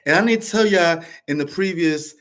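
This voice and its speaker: A man's voice: a little high, brisk, a bit bright. A man hosting his vlog, talking through a casual motivational monologue, rambling and restarting often. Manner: rambling, repetitive, frequent restarts. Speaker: vlog host.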